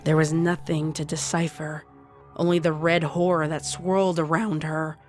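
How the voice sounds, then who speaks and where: A woman narrating a scripted horror story in a deliberate, dramatic way. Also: low voice, level tone, a little mellow, soft background music.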